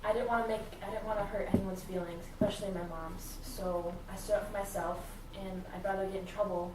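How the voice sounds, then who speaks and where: Distant and echoey, with clear noise, comes a defensive schoolgirl, acted by a woman. She sounds sullen and hurt, giving clipped, reluctant answers in a role-played therapy session. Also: mid-range voice, fairly even intonation, quick pace, close to an everyday voice. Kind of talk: improvised therapy role-play.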